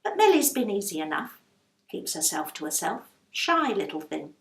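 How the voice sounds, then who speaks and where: Blunt working-class landlady, played by a woman reader, chatty storybook figure, very animated, echoey room. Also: medium pitch, normal speed. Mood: guilty, fond. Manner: chatty, blunt, colloquial non-standard grammar. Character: outraged, kindly working-class London landlady, mother of small children. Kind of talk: children's storybook reading.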